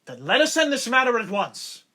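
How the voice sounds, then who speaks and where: A man's voice: extremely high pitch, sing-song, moderate pace, bright, forceful tone, exaggerated voice. A man playing an honor-bound, passionate lieutenant in a duel drama, intense and formal in his diction. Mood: defiant. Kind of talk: stage-play audio drama acting.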